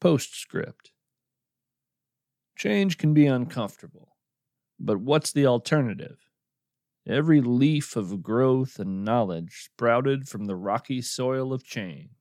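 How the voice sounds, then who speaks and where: Man playing an earnest naturalist host with lively intonation. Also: medium pitch, slow, long pauses, dry recording, clean studio sound. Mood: reflective. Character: whimsical, earnest male naturalist host of strange wonders. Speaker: host and narrator. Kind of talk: scripted fiction podcast narration.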